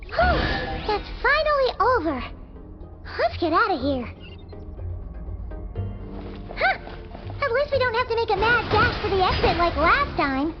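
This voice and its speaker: A woman voicing a tiny, cheerful, childlike fairy companion in a video game, squeaky and chatty, with music behind her. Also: moderate pace. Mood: relieved, excited. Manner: refers to self in third person, excitable, chatty. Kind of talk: video game character acting. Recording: slightly dull audio, music behind.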